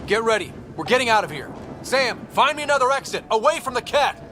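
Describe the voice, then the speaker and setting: Lively young space team leader. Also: male voice, extremely high pitch, a little fast, music in the background. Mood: urgent. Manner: urgent, commanding, earnest. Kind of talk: video game cutscene acting.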